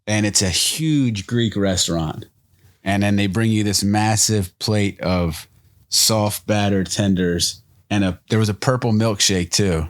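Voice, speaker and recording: A young man co-hosting a podcast in casual chat, energetic and storytelling, often laughing. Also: fairly low pitch, unhurried. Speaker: podcast co-host.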